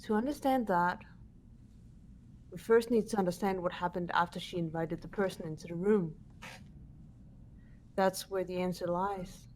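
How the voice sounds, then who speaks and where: A woman voicing a composed, analytical teenage girl in a fan-dubbed mystery game, measured and matter-of-fact. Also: lowish voice, darkish tone, slight background noise, natural voice. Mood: calm, analytical.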